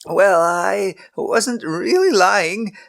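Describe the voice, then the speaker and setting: A man's voice: high-pitched, sing-song, a little slow, vocal fry, a bit bright, voice pushed up, heavily acted. A man voicing a timid old patriarch who stammers and hesitates. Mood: flustered.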